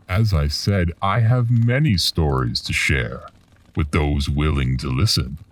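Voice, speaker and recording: A man playing a mysterious, ominous collector of dark tales in a horror radio drama, speaking formally and cryptically. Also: low-pitched, recorded from a distance, exaggerated voice.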